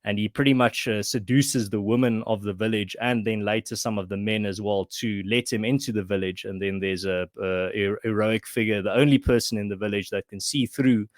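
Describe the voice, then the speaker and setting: A man's voice: on the low side, steady tone, brisk, few pauses, no room sound. A man from South Africa whose first language is Afrikaans, talking as a podcast guest and writer in a thoughtful way with many restarts. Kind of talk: casual conversation.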